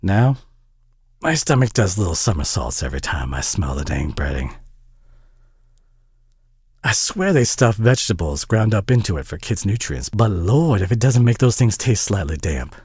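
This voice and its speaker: A man playing a warm, tired, gently flirty single dad with a Southern US accent in an audio roleplay, talking in a folksy, hesitant way. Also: lowish voice, slightly rough, dry recording, clean studio sound. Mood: wry, easygoing. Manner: folksy, hesitant, chuckling drawl with pet names. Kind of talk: intimate audio roleplay drama.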